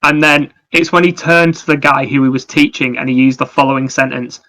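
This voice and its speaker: A man with a bright, forward voice at a medium pitch co-hosts a podcast. He chats casually and tells anecdotes with a wry, teasing manner. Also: steady tone, brisk, poor audio. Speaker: podcast co-host.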